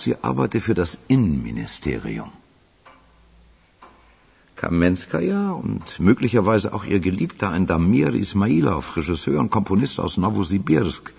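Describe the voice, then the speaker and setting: An actor in a radio play playing a sober, dutiful investigator, gravelly and husky, reporting facts. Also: male voice, lowish voice, sing-song, normal speed, a little mellow, heavily acted. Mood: sober, factual. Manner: factual reporting.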